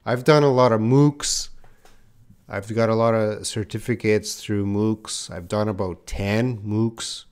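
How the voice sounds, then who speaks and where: A man's voice: fairly low pitch, steady tone, slow, darkish tone, clear, resonant tone. A man, an English teacher, chatting on a live-stream class and answering viewers' comments as he reads them. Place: in Korea.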